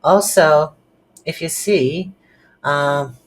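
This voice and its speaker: A non-native English speaker giving an unscripted coding tutorial, thinking aloud in a halting way while working at a computer. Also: mid-range voice, low-quality recording. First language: not English. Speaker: programming tutorial instructor. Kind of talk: screen-recorded coding tutorial, unscripted explanation. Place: indoors at a computer.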